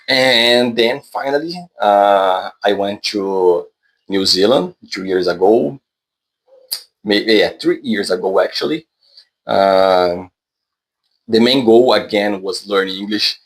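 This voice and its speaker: A man's voice: fairly low pitch, poor audio. A man from Brazil, a native Portuguese speaker with a Brazilian accent, talking casually and unscripted to viewers in hesitant, self-correcting English. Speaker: vlogger, English learner.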